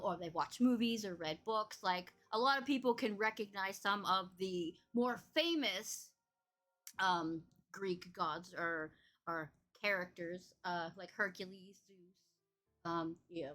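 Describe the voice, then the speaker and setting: A woman's voice: lowish voice, measured pace, sharp tone, soft background music. A woman hosting a casual podcast chat, bubbly and teasing, laughing often. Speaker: podcast host.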